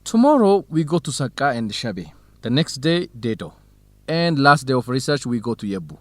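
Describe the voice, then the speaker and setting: A hospitable young man played by an actor in a radio drama. His pitch moves in a very lively way as he explains things helpfully, recorded dry and close. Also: moderate pace, dry recording, natural voice. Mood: helpful, friendly. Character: warm, hospitable young Ethiopian man, student researcher. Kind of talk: scripted educational radio drama dialogue.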